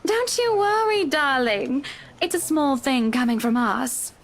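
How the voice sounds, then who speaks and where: Rich bubbly wife in a video game, high sweet voice, super gracious and gushy. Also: female voice, expressive, unhurried. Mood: delighted, gushing. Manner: gushing, polished, affectedly sweet. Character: wealthy, bubbly, gracious married woman, an eager house buyer.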